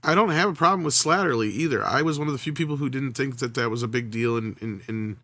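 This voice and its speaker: A man's voice: medium pitch, quick pace, bright, forceful tone, dry recording. A man in casual conversation, giving candid opinions with some hesitation. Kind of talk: casual chat.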